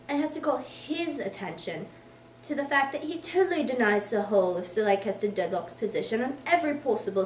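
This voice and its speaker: A woman voicing a hearty, explosive, blustering older English gentleman in an emphatic, theatrical reading of a Victorian novel. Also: medium pitch, natural voice. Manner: emphatic, theatrical declaiming in long tirades. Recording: echoey room, distant mic, faint noise.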